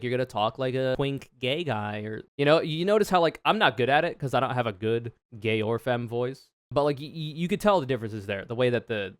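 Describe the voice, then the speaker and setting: A man streamer with a deep, smooth voice talks over a clip in loose, thinking-aloud commentary. He is frank and swears freely. The sound is close and dry, at an ordinary middle pitch. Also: no echo. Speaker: streamer reacting to a video. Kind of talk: casual reaction commentary.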